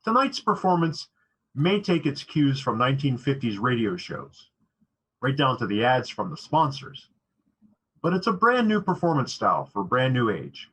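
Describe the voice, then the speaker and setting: A man with a slightly husky voice giving an earnest, scripted introduction as a theatre director. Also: medium pitch, sing-song, normal speed, a bit bright, poor audio.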